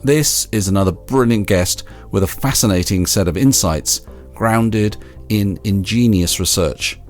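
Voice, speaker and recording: A male podcast co-host, tired from an early start, speaking in a measured, calm way. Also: fairly low pitch, faint music behind. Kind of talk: scripted intro, then casual chat.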